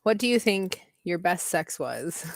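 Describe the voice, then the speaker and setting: A woman's voice: on the low side, lively intonation, unhurried, vocal fry, dry recording. A woman hosting a casual chat, reading out party questions and thinking them over aloud with hums and giggles, over a video call. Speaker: host.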